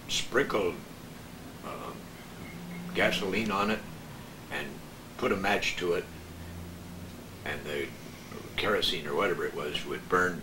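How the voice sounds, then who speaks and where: An older man reminiscing casually as a guest, halting as he searches for words. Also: deep voice. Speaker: guest, broadcasting old-timer. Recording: echoey, distant, noisy room recording.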